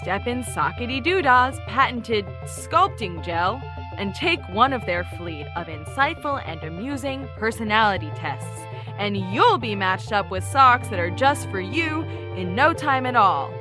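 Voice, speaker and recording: A woman playing an earnest, prim investigative podcast host, narrating and interviewing in a measured, composed way. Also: a little high, expressive, over music. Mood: scripted ad cheer. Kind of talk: scripted true-crime parody narration.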